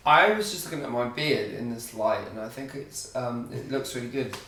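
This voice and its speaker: A man's voice: mid-range voice, expressive, normal speed, sharp tone. A man co-hosting a reaction show, chatting bluntly and jokingly about an episode. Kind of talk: casual chat reviewing an episode. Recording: echoey room, distant mic.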